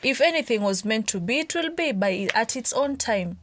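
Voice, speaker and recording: A woman's voice: fairly high pitch, lively intonation, normal speed, slight background noise. A young adult woman, chatting candidly and assuredly as a podcast guest, laughing often. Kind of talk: casual chat.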